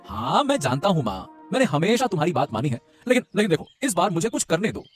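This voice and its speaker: A man's voice: on the high side, very animated, quick pace. A man voicing an earnest young husband in a Hindi cartoon, reasoning and pleading with hesitant insistence. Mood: pleading, insistent. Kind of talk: Hindi moral-story cartoon voice acting. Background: music.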